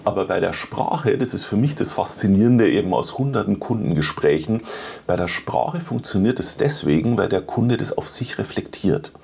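Middle-aged guy, really low gravelly voice, kinda husky, explains stuff on a tv studio set with a lapel mic. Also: expressive, moderate pace, a little mellow. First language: German. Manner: explains at length, with frequent tag questions. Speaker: neuroscientist guest. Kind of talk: explanatory interview talk.

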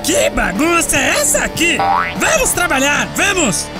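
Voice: sits high, huge pitch swings, measured pace, exaggerated voice. An actor playing a bossy, showy boss in a children's cartoon, exclamatory and commanding. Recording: loud music behind the voice. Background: loud music.

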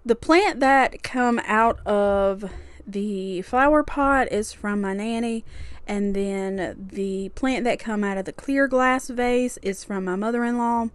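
A young woman talks through her cleaning day in a relaxed, homely voice-over. Her voice sits at an ordinary middle pitch and sounds dry and close to the mic. She chats easily and laughs lightly now and then. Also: takes it slowly, dry recording. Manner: chatty and homely, with small laughs. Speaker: cleaning vlogger. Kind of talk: casual voice-over narration.

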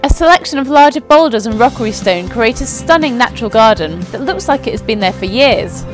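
A woman's voice: fairly high pitch, animated, fairly quick, over music. A young woman giving an upbeat, scripted voice-over narration for a company video. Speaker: company presenter. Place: outdoors at a flower show.

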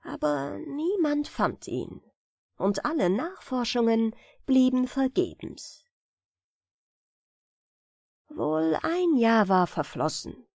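A woman with a fairly high voice reads a bedtime fairy tale. Her pace is slow and her intonation moves widely and expressively. The recording is dry and close, like a studio audiobook. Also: creaky, dry recording. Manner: measured, expressive storytelling. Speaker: audiobook reader.

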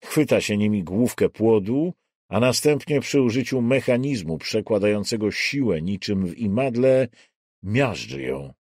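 A man reads as an audiobook narrator with deliberate, dramatic phrasing. His voice sits on the low side and moves widely in pitch. He takes his time, and the recording is dry and close. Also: lively intonation, darkish tone, no echo. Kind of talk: scripted literary audiobook narration.

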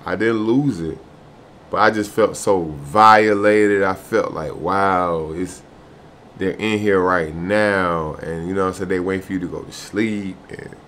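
Casting: American male, thirties, low voice, informal slangy video diary delivery recorded in a small room. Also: unhurried, a bit bright, a little hiss or hum. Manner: slangy, repeats "man", "bro" and "you know what I'm saying". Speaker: truck driver vlogger. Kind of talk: casual vlog talk to camera. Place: small furnished hotel room, indoors.

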